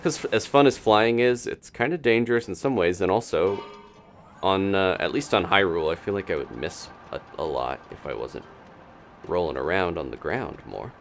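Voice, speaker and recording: A man's voice: deep voice, normal speed, a little hiss or hum. A man giving casual live gameplay commentary as a streamer, thinking aloud as he plays. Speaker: game streamer, host.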